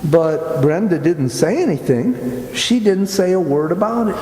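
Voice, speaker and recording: An actor playing an earnest, impetuous young husband in a radio drama, wary, hesitant and easily flustered. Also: male voice, high-pitched, expressive, a little slow, warm tone. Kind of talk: amateur radio drama acting. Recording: echoey hall, some background noise.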